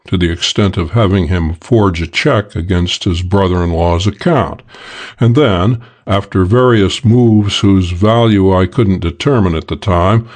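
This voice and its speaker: Casting: male audiobook narrator, lowish register, measured and matter-of-fact delivery for hardboiled detective prose. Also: a little mellow.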